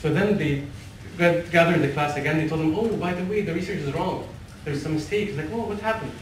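A young man from Oman giving a motivational talk to a seated audience, animated and laughing at his own stories. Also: a little high, brisk, a little hiss or hum. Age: young adult. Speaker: motivational speaker. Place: wood-panelled lecture room with a seated audience. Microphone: room mic at a distance while he walks the stage. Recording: echoey hall from far off.

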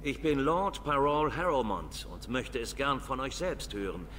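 Old dwarf lord, video game, guarded, stately, formal, medium pitch, slight background noise. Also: male voice, very animated, a bit bright. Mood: guarded, stern. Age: older. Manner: formal, measured, stern.

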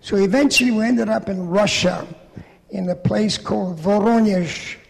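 A man's voice: sits high, moderate pace, gravelly. A man, telling his life story steadily into a handheld microphone before an audience in a large church hall. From Poland. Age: 80s. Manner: plain, steady storytelling with gestures. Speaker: Holocaust survivor speaker. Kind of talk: testimony talk to an audience. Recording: reverberant hall.

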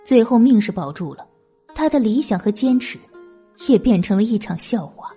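Shrewd, unyielding female strategist, played by a woman, historical audiobook drama. Mellow, measured, slightly high. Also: animated, faint music behind, close to an everyday voice. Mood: grave, resolute.

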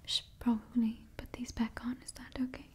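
A woman's voice: a little high, flat delivery, moderate pace, husky. A woman in her twenties performing a whispered ASMR roleplay up close to the microphone, repeating short soothing phrases. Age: 20s.

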